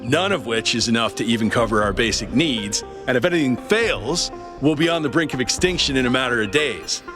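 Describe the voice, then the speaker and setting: Game role: earnest robot campaigner played by a man, medium-pitched, courteous yet urgent, music playing behind. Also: animated, brisk, close to an everyday voice. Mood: earnest, concerned. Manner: earnest, persuasive, polite. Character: earnest male android citizen activist, politely urgent. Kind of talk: video game dialogue.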